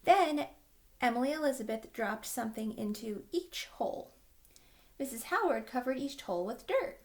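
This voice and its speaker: A woman reading a children's storybook aloud, expressive, with drawn-out emphasis for young listeners. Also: fairly high pitch. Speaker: children's book reader. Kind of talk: animated storybook read-aloud.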